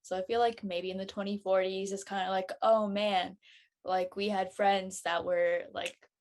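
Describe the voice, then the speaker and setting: A woman with a medium-pitched voice talks casually as a panelist. She thinks aloud in an informal, tentative way, searching for the right words. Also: flat delivery, normal speed, darkish tone, a little hiss or hum.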